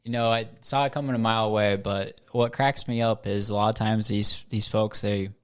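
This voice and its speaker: A man's voice: on the low side, fairly even intonation, a little fast, a bit bright, smooth. A man with a southern Ohio American accent co-hosting a casual chat, laid-back, with short wry remarks. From Dayton, Ohio. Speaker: podcast co-host.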